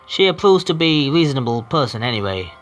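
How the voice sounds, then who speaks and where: A man's voice: medium pitch, sing-song, fast, fairly bright tone, highs cut off, slight background noise. A man streaming himself reading a visual novel aloud, often stumbling and correcting himself.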